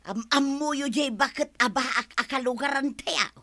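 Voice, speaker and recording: An actress playing a gossipy, excitable village woman in an Ilocano radio comedy drama, teasing and animated, with an Ilocano accent. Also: high voice, moderate pace, no echo. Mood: excited gossiping.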